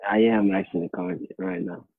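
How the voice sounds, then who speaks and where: A man's voice: sits high, lively intonation, gravelly, slightly rough, no room sound. Young man on a tinny line. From Somalia. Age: late teens. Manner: halting, repeats words while searching for them. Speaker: interviewee, high school student. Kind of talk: casual interview answers. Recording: dry, tinny phone or call line.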